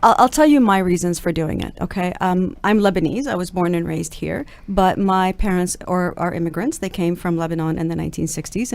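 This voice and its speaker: A woman's voice: lowish voice, a little mellow. A woman from Canada, a guest on a radio interview, reflecting thoughtfully on her reasons.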